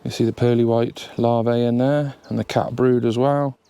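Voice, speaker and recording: A man's voice: medium pitch, normal speed, clean tone. A man with an English accent, sniffly from hay fever, chatting casually outdoors as he inspects his beehive in a bee suit. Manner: relaxed, chatty, thinking aloud. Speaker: beekeeper. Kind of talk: casual narration while working. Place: outdoors at a hive in a grassy apiary. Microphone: camera near the hive, wearing a bee suit.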